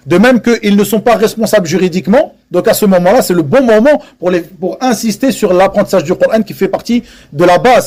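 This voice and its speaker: A man with a notably high voice delivers a religious lesson to a seated audience. He speaks earnestly and with emphasis, often repeating a phrase to drive it home. He slips Arabic religious expressions into his French. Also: a little mellow. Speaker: religious teacher.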